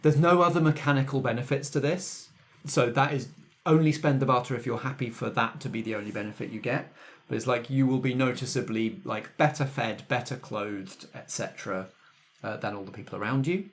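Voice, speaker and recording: A man's voice: medium pitch, fairly quick. A man speaking conversationally as game master, explaining the rules of a tabletop game to his players.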